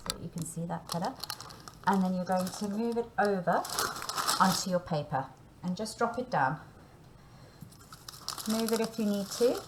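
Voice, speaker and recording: A woman with a mid-pitched voice teaches baking in a chatty, step-by-step manner. She checks in often with short tags as she demonstrates. There is some background noise, with clicks and crinkling, as in a home kitchen video. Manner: instructional, chatty, checking in with okay and right.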